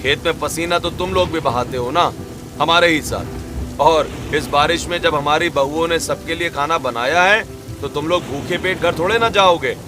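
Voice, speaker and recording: A man's voice: a little high, moderate pace, over music, natural voice. A man voicing a kindly, cheerful village farmer in a cartoon story. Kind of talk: cartoon story narration and voice acting.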